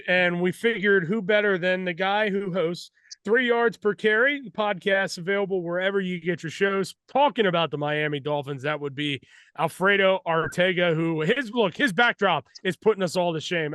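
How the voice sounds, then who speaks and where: Bright, high-voiced male podcast host. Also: clear, resonant tone, no room sound. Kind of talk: sports podcast hosting.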